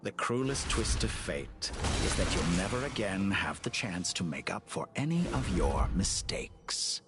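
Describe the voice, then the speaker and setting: A pompous, cruel mastermind from a video game. His voice sits low and swings widely as he taunts with theatrical condescension. Music plays clearly behind him. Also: very animated, unhurried. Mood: smug, taunting. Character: smug, theatrical male villain, cruel mad-scientist mastermind.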